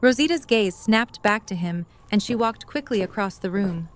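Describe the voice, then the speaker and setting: A woman's voice: mid-range voice, lively intonation, moderate pace, slight background noise. A woman reading scripted third-person narration for an audio drama.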